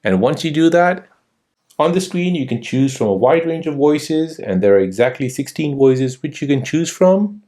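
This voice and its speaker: Guy doing an app how-to, kinda higher voice, very up and down delivery, scripted. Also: animated, normal speed, dark tone. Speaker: tutorial host. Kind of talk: scripted step-by-step app tutorial narration.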